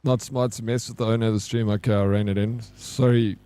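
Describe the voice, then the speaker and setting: Mellow South African man streaming a game. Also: on the low side, steady tone, brisk, clean tone, dry recording. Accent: South African English. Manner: joking, teasing banter with chat. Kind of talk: casual gameplay commentary.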